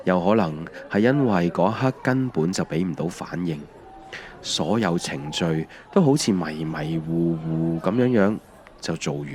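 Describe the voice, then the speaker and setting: A low-pitched, middle-aged Hong Kong Cantonese voice of a man acting as a mild husband in an audio drama. He tells his story reflectively and without hurry, as scripted first-person narration. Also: darkish tone.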